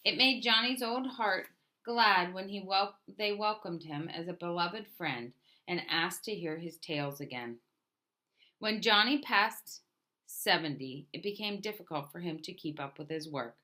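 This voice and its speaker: A woman reading a picture book aloud as a storyteller, in a deliberate manner with emphatic openings to her phrases. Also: on the low side, animated, bright, forceful tone. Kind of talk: scripted picture book narration.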